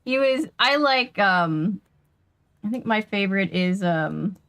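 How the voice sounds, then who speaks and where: A woman's voice: mid-range voice, animated, takes it slowly, a bit bright, clean tone. A woman streaming a video game, chatting casually and rambling as she plays. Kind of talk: casual chat while gaming.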